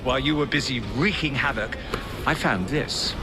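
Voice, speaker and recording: A man playing a proper, cautious older Victorian gentleman scientist with a British accent in a video game. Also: medium pitch, moderate pace, music in the background, close to an everyday voice. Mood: cautioning. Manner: proper, polite, expressive.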